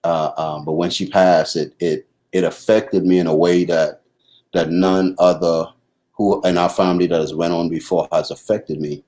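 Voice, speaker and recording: A middle-aged man from the Bahamas with a Bahamian accent, talking casually about family and marriage, pausing and restarting often. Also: sits low, level tone, darkish tone. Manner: halting, many restarts and fillers. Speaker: husband co-host. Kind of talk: casual conversation.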